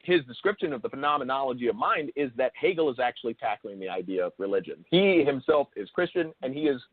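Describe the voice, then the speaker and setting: A man hosting an interview in an unscripted explanatory style, halting and rephrasing himself, with emphasis on key words. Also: mid-range voice, expressive, no echo. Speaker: show host interviewing a guest. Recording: thin phone-like call audio.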